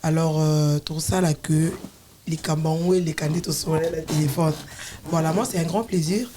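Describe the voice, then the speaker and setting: A woman co-hosting a talk show who welcomes the guest in halting speech with many restarts. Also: low-pitched, fairly even intonation, noisy background. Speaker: co-host.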